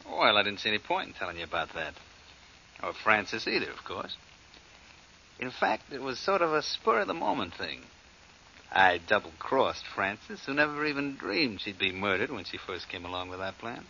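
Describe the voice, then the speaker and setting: An actor in a 1940s radio drama playing a cold, scheming blackmailer turned killer, glib and callous. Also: male voice, fairly low pitch, very animated, normal speed, gravelly, pushed and bright, full character voice. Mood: smug, callous. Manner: glib, callous, offhand about murder. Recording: muffled old radio recording with hiss.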